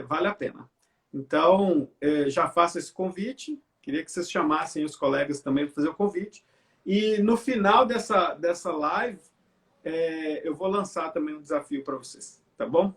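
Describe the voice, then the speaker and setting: A man from Belo Horizonte hosts a casual live video chat and keeps things loose and conversational. His voice sits noticeably high for a man. It comes through a video call from his home. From Belo Horizonte, Brazil. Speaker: live stream host. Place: at home by a window.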